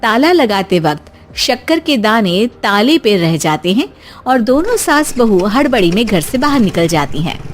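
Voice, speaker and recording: High-pitched woman narrating a storybook tale. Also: big pitch swings, a little slow, slight background noise.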